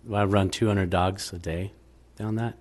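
A middle-aged business owner answering questions at a public meeting, defensive and quick to argue with figures. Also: male voice, sits low, fairly even intonation, a little mellow. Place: town council meeting room, indoors. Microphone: desk microphone.

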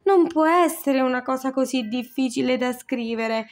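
A woman's voice: high voice, normal speed, voice pushed up. A woman doing a fuming storybook lion. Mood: frustrated. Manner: shouting in frustration. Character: proud male lion, quick to anger.

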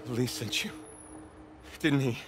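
An actor plays a frightened man turned informant in a dramatic game scene. His voice is medium in pitch. He is wary and agitated but defiant, with faint music underneath. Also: slow, close to an everyday voice. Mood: panicked. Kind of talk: dramatic video game acting.

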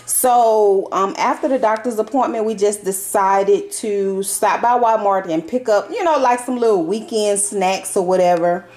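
A woman vlogging from her home kitchen, talking viewers through her groceries in a relaxed, chatty way full of fillers and y'all. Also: mid-range voice, measured pace, few pauses. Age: adult parent. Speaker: vlogger showing a grocery haul. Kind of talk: casual chatty haul vlog.

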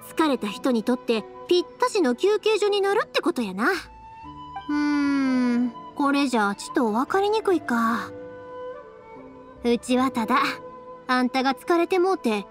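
An actress playing a cheerful, kind young fireworks maker in an anime game, speaking with a Kansai accent in a friendly, chatty, expressive way. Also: female voice, high voice. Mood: gentle, caring. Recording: studio voice over game music.

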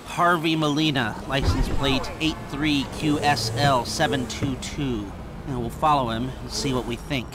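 A man's voice: mid-range voice, steady tone, measured pace, close to an everyday voice. A man playing a dry, cynical criminal planner in a video game, articulate and sardonic. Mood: matter-of-fact, sardonic. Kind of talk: video game dialogue acting. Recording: car and room noise behind.

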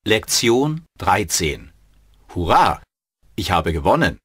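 A man announcing lesson titles in a language course, husky and deliberate. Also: low-pitched, sing-song, a bit bright, no echo, studio quality.

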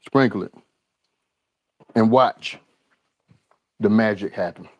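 A man in his home kitchen hosts a live cooking stream, talking at an unhurried, drawn-out pace. He speaks to the viewers as y'all and says his lines again and again. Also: fairly low pitch, no echo, lo-fi sound. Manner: drawling, talks to viewers as y'all, repeats lines. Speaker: cooking show host. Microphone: overhead camera on the skillet, speaker unseen.

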